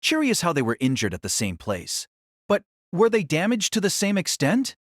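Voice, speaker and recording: A man narrating a fantasy audio drama in a steady, even storytelling manner. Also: high-pitched, normal speed, dry recording, clean studio sound.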